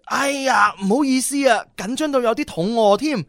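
High-voiced, excitable young sidekick. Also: male voice, moderate pace, gravelly, heavily acted. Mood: amazed, sheepish. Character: excitable, chatty young male sidekick, often hungry. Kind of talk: Cantonese radio drama acting.

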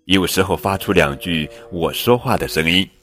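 A man's voice: low voice, animated, normal speed, a bit bright, soft background music. A man voicing a playful, mischievous patchwork elephant in a children's storybook, chuckling as he talks. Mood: scheming.

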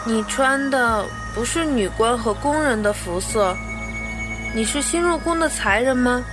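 A small, brave boy prince acted by a woman, innocent and gentle, with a high voice. It is audio drama acting, laid over clearly audible music. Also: lively intonation, a little slow. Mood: earnest, pleading.

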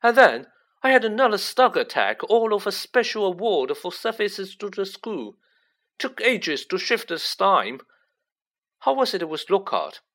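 A weary schoolboy grumbling to his friend in a storybook, played by a man. The voice is pitched up and bright, with a complaining, animated swing to it. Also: measured pace, full character voice. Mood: weary, aggrieved. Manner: groaning, complaining. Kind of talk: audiobook character acting.

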